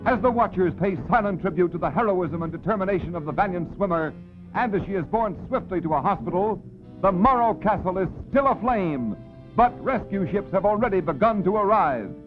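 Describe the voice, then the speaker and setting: High voiced guy doing dramatic solemn 1930s newsreel narration, super tinny old film sound. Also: expressive, a little fast, over music.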